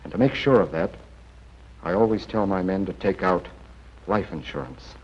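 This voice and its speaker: A man playing a seasoned, assured intelligence briefing officer in a 1940s training film, giving measured, plain-spoken advice. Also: sits low, a little mellow, natural voice. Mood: assured, advising. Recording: muffled 1940s film soundtrack.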